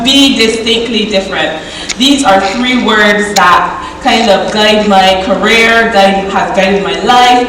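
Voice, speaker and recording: A woman's voice: medium pitch, distant mic, noisy background. Barbadian woman speaking in an echoey hall. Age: 20s. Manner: lively, chatty, addresses audience directly. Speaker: conference speaker. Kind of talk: conference keynote talk. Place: large conference hall.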